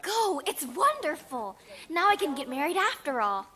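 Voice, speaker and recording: A woman's voice: high voice, sing-song, moderate pace, pushed and bright, heavily acted. A young woman acting in an audio drama as an earnest, pleading bride-to-be who is secretly a bandit. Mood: anxious, eager.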